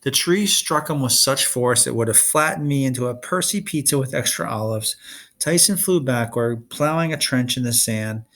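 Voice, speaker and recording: Gravelly male storybook narrator. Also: mid-range voice, moderate pace, a bit bright. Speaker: reader of a children's fantasy novel read-along. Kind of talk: expressive audiobook-style narration.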